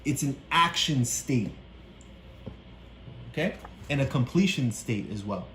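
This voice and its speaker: A woman's voice: rumbling low, lively intonation, unhurried, a bit bright, reverberant, a little hiss or hum. A woman coaching a child through a story read-aloud, explaining each part patiently and often checking that the child understands. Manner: encouraging, keeps checking understanding.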